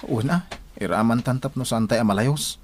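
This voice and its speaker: An actor playing a humble, law-abiding labourer husband in an Ilocano radio drama. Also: male voice, medium pitch, normal speed, lightly acted. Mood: practical.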